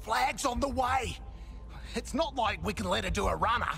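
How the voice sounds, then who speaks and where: A brash, self-serving Australian game rogue, complaining in a very high, gravelly voice. He is whiny and quick to defend himself, speaking over faint music. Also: expressive, sharp tone, exaggerated voice. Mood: agitated, defensive. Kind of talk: video game cutscene acting.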